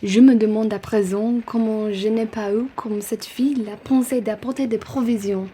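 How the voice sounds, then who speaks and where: Woman acting dignified lady, husky, dark, fairly high voice, formal, radio drama. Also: steady tone, moderate pace. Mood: rueful. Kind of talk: French radio play acting.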